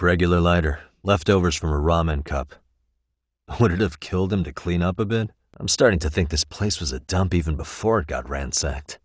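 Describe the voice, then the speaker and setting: Video game detective, low-pitched, dry and wry, sharp-minded, close dry sound. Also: male voice, dry recording. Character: sharp, level-headed, wry male private detective. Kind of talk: English game voice acting, detective dialogue.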